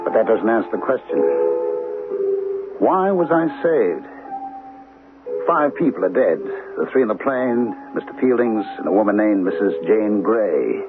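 A brooding, anguished survivor in a vintage radio drama. He questions insistently in a low voice with big swings in pitch, over a narrow, phone-like old recording. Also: expressive, a little slow. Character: anguished man haunted by his own survival. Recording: muffled old radio recording, music behind.

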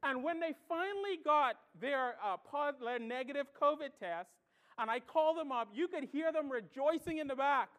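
Animated high-voiced woman preaching a sermon. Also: moderate pace. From Bahamas. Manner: emphatic, animated, asks rhetorical questions.

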